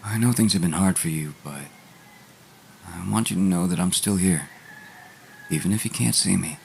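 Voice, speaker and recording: A man playing a tender, reassuring lost lover who speaks through a letter in an audio drama, gentle and intimate. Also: sits low, moderate pace, gravelly, a little hiss or hum. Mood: consoling, loving.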